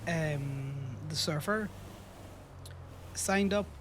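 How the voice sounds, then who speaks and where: A man's voice: fairly high pitch, extremely slow, noisy background. A sick, sniffly man with an Irish accent chatting casually on a livestream, wry and self-mocking. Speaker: streamer. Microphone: desk microphone.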